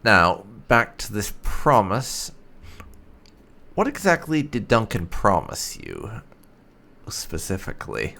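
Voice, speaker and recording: Man, gamer reading role-play lines, lively swooping intonation, gravelly fry, medium pitch, theatrical delivery. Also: takes it slowly, long pauses. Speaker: gaming channel host, player. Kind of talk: reading game dialogue choices aloud in character.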